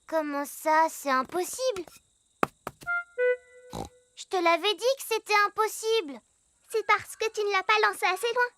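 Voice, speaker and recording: A performer voicing a bright, curious young animal child in a preschool cartoon. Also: very high voice, unhurried, pauses often, faint music behind, exaggerated voice. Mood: chatty.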